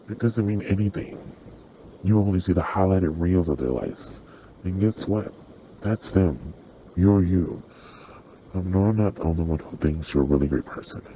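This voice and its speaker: Very deep, mellow, gentle demon, voice-changed performer. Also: a little hiss or hum, low-quality recording. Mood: reassuring. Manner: soothing, reassuring, conversational. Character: gentle, apologetic sleep paralysis demon comforting a human. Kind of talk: ASMR roleplay.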